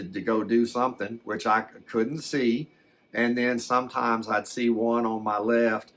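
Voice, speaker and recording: A man playing a shaken, plain-spoken rural abductee, telling his story in a halting, rambling, folksy way as ASMR. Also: medium pitch, a bit bright.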